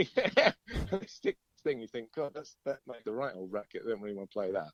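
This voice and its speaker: A man's voice: medium pitch, sing-song, a little fast, no echo, dull audio. A middle-aged British man, a musician chatting casually in an interview, rambling through stories in a chatty way. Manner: chatty, rambling storyteller, often chuckling.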